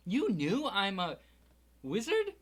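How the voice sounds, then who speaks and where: A man's voice: high voice, huge pitch swings, slow, slightly rough, voice pushed up, heavily acted. A man doing a bewildered young boy. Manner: stammering, urgent questions.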